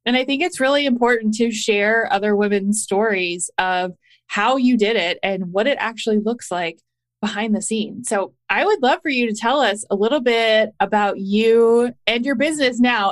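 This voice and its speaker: A woman's voice: mid-range voice, moderate pace. A woman hosting a podcast, interviewing in an informal, conversational way with "like" sprinkled through her speech. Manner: informal, frequent "like" while framing questions.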